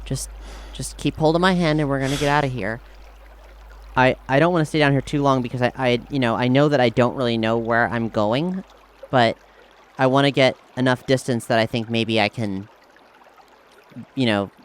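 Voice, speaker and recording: A man playing a tabletop role-playing game, thinking aloud haltingly as he improvises his character's moves. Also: mid-range voice. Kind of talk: improvised in-character play and narration. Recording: steady noise behind the voice.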